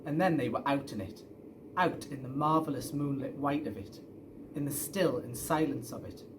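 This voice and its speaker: A man's voice: medium pitch, moderate pace, noisy background. A man reading a children's story aloud expressively, as a storyteller. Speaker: story reader.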